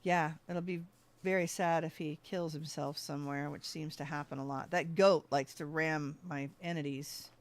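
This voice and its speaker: A gaming streamer with a mid-pitched voice narrates play in a casual, offhand way. The talk drifts between thinking aloud and addressing animals in the game. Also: steady tone, moderate pace. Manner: talks to game animals and self. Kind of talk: casual gameplay commentary.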